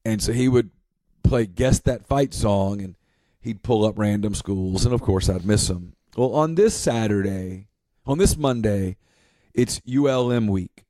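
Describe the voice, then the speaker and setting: A man's voice: fairly low pitch, a little slow, warm tone, dry recording. A man speaking casually as a podcast co-host. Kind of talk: casual storytelling.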